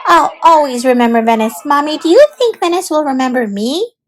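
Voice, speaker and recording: Woman putting on a high, dramatic little storybook piglet girl. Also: lively intonation, fairly quick, a little hiss or hum. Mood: excited. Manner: pleading, theatrical.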